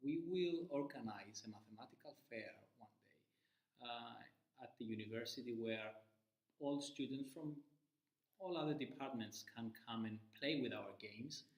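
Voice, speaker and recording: A man from Cyprus who lectures in primary mathematics, introducing his part of a course in a measured way, with frequent uh pauses. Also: medium pitch, echoey room, rough recording.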